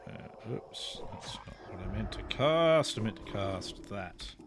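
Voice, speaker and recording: A man giving relaxed, rambling, self-deprecating commentary while he plays a game. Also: lowish voice, slow, very noisy. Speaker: gameplay streamer. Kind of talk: casual gameplay commentary.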